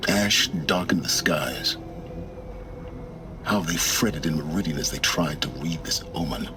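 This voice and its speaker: An actor playing a solemn, calculating man who guides the heroine, speaking in a measured, ominous way. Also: extremely low pitch, music in the background. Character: mysterious older man guiding the heroine, grave and calculating. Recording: game audio with loud ambience.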